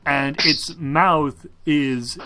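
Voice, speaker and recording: A man's voice: fairly high pitch, steady tone, drawn-out words, sharp tone, slight background noise. A man narrating a tabletop monster game as game master in a casual way.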